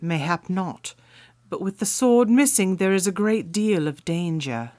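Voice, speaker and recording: A woman's voice: on the low side, expressive, a little slow, a little mellow, no echo. Woman playing a solemn, archaic-tongued young noblewoman, close and dry. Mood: grave, pleading. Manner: formal, archaic, theatrical phrasing. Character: solemn, courtly 31-year-old noblewoman guarding a royal sword. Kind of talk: radio drama acting.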